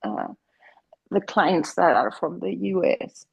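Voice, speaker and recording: A woman's voice: on the low side, animated, slow pace, creaky, husky, highs cut off. A middle-aged woman from Mexico, a Spanish native speaker with a Mexican accent, talking about her work as a family therapist in an interview, earnest and full of restarts. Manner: earnest, many restarts and fillers. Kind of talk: interview conversation.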